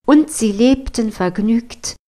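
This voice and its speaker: High-voiced woman reading a fairy tale. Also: expressive, slow, mellow. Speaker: storyteller. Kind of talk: scripted fairy tale narration.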